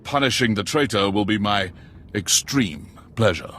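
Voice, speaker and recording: A man's voice: lowish voice, lively intonation, normal speed, fairly bright tone, slight background noise. A man playing a proud, loyal Imperial general in a game, with grandiose, zealous speech. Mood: eager pride. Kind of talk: video game cutscene acting.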